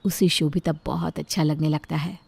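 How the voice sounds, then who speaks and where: A woman's voice: deep voice, steady tone, moderate pace, creaky, a little mellow, slightly rough, dry recording. A woman reading scripted narration of a moral story as its storyteller.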